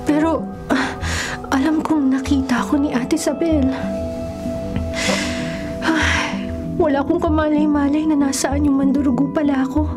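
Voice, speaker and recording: A woman playing a troubled young woman, a big sister with a secret, in an expressive radio horror drama style. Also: high-pitched, a little slow, long pauses, over music. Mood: anguished. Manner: melodramatic, expressive. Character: troubled young woman, affectionate older sister hiding dread.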